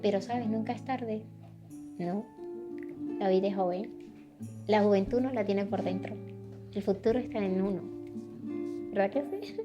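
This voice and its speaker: A woman with a mid-pitched voice speaks softly and thoughtfully. Clear guitar music plays behind her. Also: normal speed, pauses often, dull audio. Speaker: migrant interviewee. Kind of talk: documentary interview.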